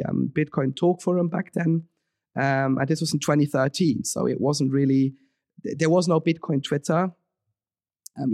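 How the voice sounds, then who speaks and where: Young Swiss guy, podcast guest, fry in the voice, loose rambling talk, dead dry mic. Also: fairly high pitch, a little mellow, dry recording. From Switzerland. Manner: rambling, many ums and restarts. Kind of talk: casual interview talk.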